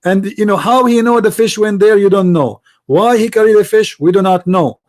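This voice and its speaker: Insistent high-voiced man, Arabic accent. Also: moderate pace. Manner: insistent, repeats himself, mocking. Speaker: host. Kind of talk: heated debate.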